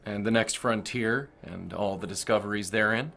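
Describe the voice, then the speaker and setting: An actor playing a crude, domineering corporate boss in an audio drama, mocking and menacing in manner. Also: male voice, on the low side, moderate pace, vocal fry, a bit bright. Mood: musing, dry.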